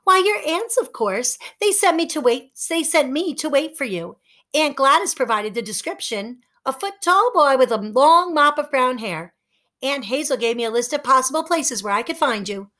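A woman's voice: sits high, animated, moderate pace. A woman voicing a tiny, cheerful female fairy who talks sweetly and eagerly. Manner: bright, gleeful and sweet.

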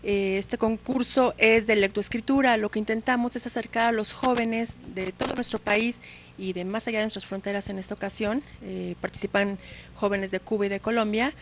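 Woman in her 40s, tv studio guest, handheld mic, steady explaining, mid pitch. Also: normal speed, a little hiss or hum. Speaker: guest, contest organizer. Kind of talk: studio interview. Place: small TV studio set, indoors.

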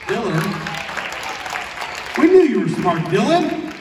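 A man, a pastor giving a plain-spoken, animated sermon to schoolchildren, full of rhetorical questions. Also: sits high, slow, a crowd in the background, noisy background. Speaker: pastor leading a children's chapel. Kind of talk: sermon to fifth and sixth graders. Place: large school gymnasium, indoors. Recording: echoey gym, distant microphone.

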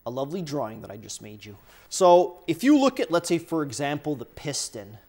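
Guy at his garage bench talking you through it, easygoing, kinda high voice. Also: very animated, a little fast, dark tone. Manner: casual, explanatory, talks while handling parts. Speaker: workshop host. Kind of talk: hands-on how-to explanation. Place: indoor workshop bench, overhead camera.

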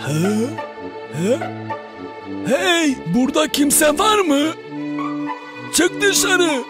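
Big kindly hungry bear for a cartoon, high pitched and sighing, slow talker. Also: lively intonation, exaggerated voice. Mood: tired, curious. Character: big hungry bear, king of the taiga, good-natured. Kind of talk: cartoon dub acting. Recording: music behind the voice. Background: background music.

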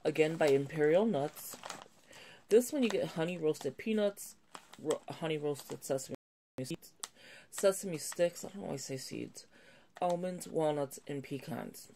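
A woman's voice: deep voice, a little slow, dark tone, a little hiss or hum. A woman vlogger with a slightly nasal voice from a cold, chatting casually and rambling as she shows items at a home table. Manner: rambling, self-correcting, chatty. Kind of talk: casual haul video chat.

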